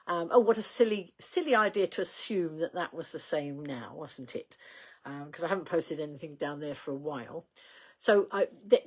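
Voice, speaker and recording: An older woman hosting a casual livestream, rambling in a chatty way with many ums and small laughs, her voice going slightly croaky. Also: fairly low pitch. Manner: chatty, rambling, reads out viewer comments, frequent ums and laughs. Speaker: livestream host.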